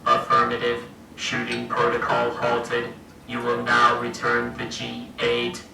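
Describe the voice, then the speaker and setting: A man voicing an emotionless research robot in a fan radio play, giving clipped, logical commands in a monotone. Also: mid-range voice, slow pace, vocal fry. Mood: flat, commanding. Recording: roomy, distant, loud music behind.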